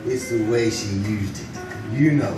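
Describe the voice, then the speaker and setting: A man's voice: fairly low pitch, measured pace, natural voice. A man acting a chatty, eager, lovestruck barkeep in an old-time radio play, with slangy, hesitant, rambling delivery. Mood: smitten. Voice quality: streetwise slangy diction. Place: live on a theatre stage. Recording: roomy stage performance, distant mic, music.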